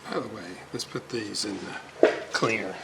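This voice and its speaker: A man's voice: fairly low pitch, normal speed, creaky. A man giving casual, hands-on repair commentary in fragmented remarks, half muttering to himself as he works. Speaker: radio restorer hosting a hands-on repair video. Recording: steady mains hum and loud background noise.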